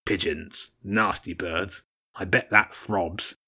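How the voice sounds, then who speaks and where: A man's voice: low-pitched, fairly even intonation, slow pace, sharp tone, breathy edge, full character voice. A man voicing a stern, mocking old badger king in an audiobook story, with a blunt, commanding manner. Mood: gruff. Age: older. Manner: blunt, scornful, commanding, short barked phrases.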